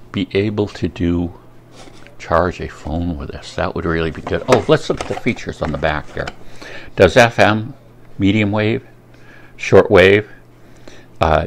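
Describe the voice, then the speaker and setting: A man giving a casual, unscripted product review in a rambling way, pausing often to think. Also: low-pitched, a little hiss or hum.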